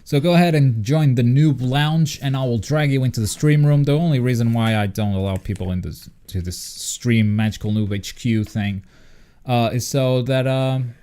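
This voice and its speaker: Mellow-voiced man hosting a casual livestream. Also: medium pitch, moderate pace. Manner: informal, swears freely. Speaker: livestream host. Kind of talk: casual chat with viewers.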